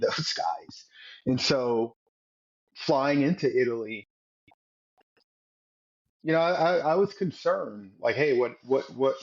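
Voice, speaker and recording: A man's voice: medium pitch, husky. A man in his forties from the United States, a former Navy SEAL telling his story as a podcast guest, earnest and reflective, often repeating words as he gathers his thoughts. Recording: dull lo-fi recording.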